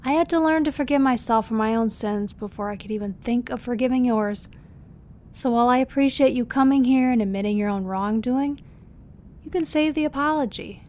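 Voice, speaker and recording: A woman playing a guarded but forgiving character in an audio drama, measured and frank. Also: fairly high pitch, a little mellow, natural voice. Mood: firm, reflective. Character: firm, composed woman, guarded yet forgiving.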